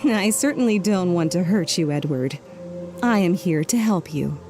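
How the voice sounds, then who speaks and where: Composed female psychiatrist, played by an actress, firm, soft-toned, music bed. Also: lowish voice, lively intonation, lightly acted. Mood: guarded, firm. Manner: measured, professional, restrained. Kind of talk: audio drama acting.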